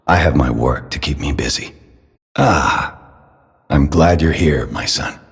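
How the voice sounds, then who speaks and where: An actor playing an old, fatherly puppet maker in a video game, tender yet menacingly obsessive, speaking in a theatrical, solemn, measured way. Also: rumbling low. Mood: gentle, musing. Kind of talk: dramatic video game character acting. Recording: long cavernous reverb.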